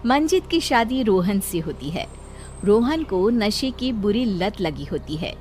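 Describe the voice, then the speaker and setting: A woman's voice: medium pitch, sing-song, measured pace, a little mellow. A woman giving scripted narration for a cartoon story.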